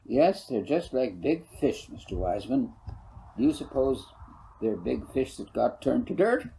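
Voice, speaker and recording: A man's voice: medium pitch, big pitch swings, a little slow, gravelly, warm tone, a little hiss or hum, rough recording. A man voicing an eager, curious young country boy who asks questions in a storybook reading. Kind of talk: audiobook character voice.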